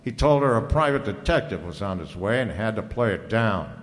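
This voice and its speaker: A low male voice rings through a big hall. It is a man playing a cool private detective from an old radio mystery, slangy and hard-boiled, tossing out lines with sardonic confidence. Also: big pitch swings, brisk. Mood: accusing, sardonic. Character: cool wisecracking private detective, narrating his own case. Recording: reverberant hall.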